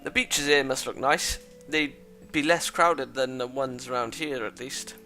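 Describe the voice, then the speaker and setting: A man's voice: mid-range voice, steady tone, a little slow, bright, forceful tone. A man reading a thoughtful teenage schoolboy's lines and inner narration in a plain, reflective way, with faint music behind.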